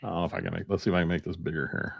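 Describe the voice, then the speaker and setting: A man's voice: low-pitched, fairly even intonation, racing, vocal fry, slightly rough, dry recording, dull audio. A man hosting a casual live-stream chat, talking informally. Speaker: podcast host.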